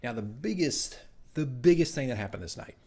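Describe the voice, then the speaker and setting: A man telling the story of his tabletop game session as dungeon master, in a casual, animated, rambling vlog style. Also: mid-range voice, moderate pace. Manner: animated, rambling asides with acted-out exclamations.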